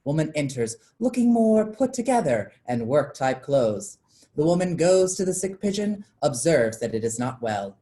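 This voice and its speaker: A woman's voice: deep voice, very animated, normal speed, warm tone. A woman reading stage directions as scripted narration for a staged play.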